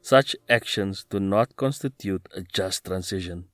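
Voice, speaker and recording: A man reading scripted documentary narration in a measured, even way. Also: low voice.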